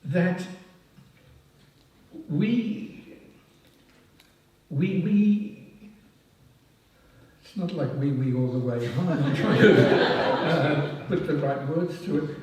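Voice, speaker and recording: An older man, born in France, giving a halting, self-deprecating speech at a ceremony, full of ums and restarts. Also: a little high, expressive, darkish tone. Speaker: past president honoured at a society oration. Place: large hall at a public event. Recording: echoey hall, voice from far off. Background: audience laughing.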